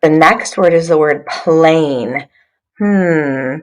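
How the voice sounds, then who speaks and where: A woman's voice: low-pitched, very slow. A woman literacy coach leading an interactive read-aloud for young children, instructive, prompting listeners with questions.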